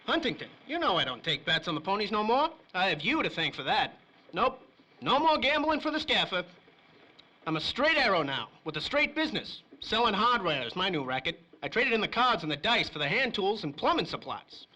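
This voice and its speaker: A nervous, shifty little underworld snitch from an old-time radio serial. The voice is high, bright and very animated, full of whiny wise-guy slang. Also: brisk, exaggerated voice, poor audio. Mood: eager, defensive. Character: nervous, shifty male small-time crook turned snitch. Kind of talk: 1930s old-time radio crime drama acting.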